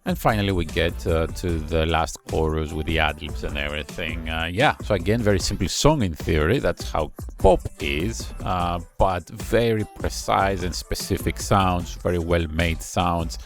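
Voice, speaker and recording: A man's voice: deep voice, moderate pace, hardly any pauses. A man hosting a music reaction channel, casually analyzing a track while thinking aloud and humming chords to explain. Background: faint song playing.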